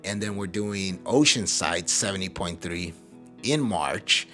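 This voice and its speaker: A man's voice: low voice, lively intonation, unhurried, sharp tone, faint music behind. A man from El Salvador hosting a podcast, speaking casually and motivationally in an earnest, emphatic way. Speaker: podcast host.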